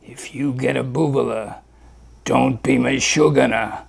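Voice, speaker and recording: Man doing a jolly old doctor in a hat, gravelly voice, slow drawl, rhymes in sing-song. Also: medium pitch, steady tone, a loud crowd, pitched below the performer's own voice. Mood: jovial.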